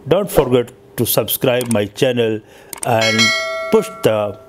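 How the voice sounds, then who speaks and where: Guy hosting a history story, reads it kinda halting, trips on words, medium pitch. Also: background noise. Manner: halting, stumbling delivery. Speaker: host and narrator of a history story channel. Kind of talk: scripted narration of history.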